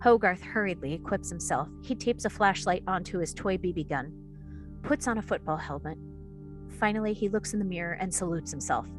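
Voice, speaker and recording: A woman's voice: on the low side, a little fast, music in the background. Woman narrating screenplay stage directions.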